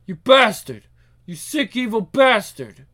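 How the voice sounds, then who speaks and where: A man's voice: sits high, very animated, moderate pace. A man playing a crude, blustering mafia don with a sneering, menacing manner. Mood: anguished rage. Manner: sneering, swearing, forceful. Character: brash male mob boss, crude, menacing, blustering.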